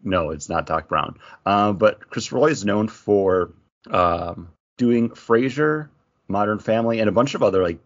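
A man's voice: lowish voice, expressive, normal speed, highs cut off. A man co-hosting a podcast in casual, joking chat. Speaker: comics podcast co-host.

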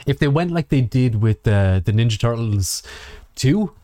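A man's voice: mid-range voice, lively intonation, unhurried, dark tone, dry recording. A man co-hosting a podcast in casual film discussion, swearing freely and using mock quotes to make his points. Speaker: podcast co-host.

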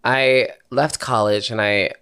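A man's voice: medium pitch, fairly even intonation, takes it slowly, sharp tone, smooth. A young man from Philadelphia, a singer-songwriter, talking candidly and casually as an interview guest, laughing easily and swearing now and then.

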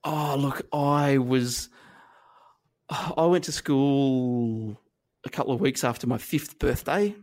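An Australian man, maybe in his thirties, telling his story as an interview guest. He talks in a halting, self-deprecating way, stopping and restarting often. His pitch sits at an ordinary middle level for a man. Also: darkish tone. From northern New South Wales, Australia. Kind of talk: reflective conversational interview.

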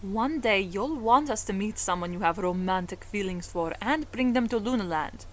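An actress playing a long-lived, scholarly woman in an audio drama, articulate and measured, with a teasing streak. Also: medium pitch, expressive, slight background noise, lightly acted. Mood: teasing, fond.

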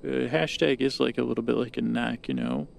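A man's voice: mid-range voice, steady tone, brisk, creaky, slight background noise. A man hosting a podcast, improvising a meandering monologue that halts, repeats itself and trails off. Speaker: podcast host. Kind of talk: rambling improvised bedtime story monologue.

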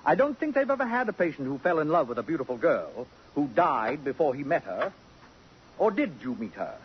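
An actor playing a haughty, sarcastic society gentleman with elaborate, pompous diction in a 1950s radio drama. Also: on the high side, very animated, a little fast, a little hiss or hum. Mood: mocking. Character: haughty, possessive, acid-tongued society gentleman, rival of a detective. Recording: muffled 1950s radio recording.

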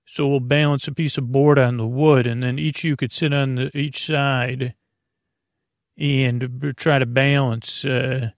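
A man hosting a bedtime podcast in a rambling, halting monologue, over-explaining and trailing off with a creaky, gravelly voice. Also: mid-range voice, little pitch movement, no echo, clean studio sound. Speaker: podcast host.

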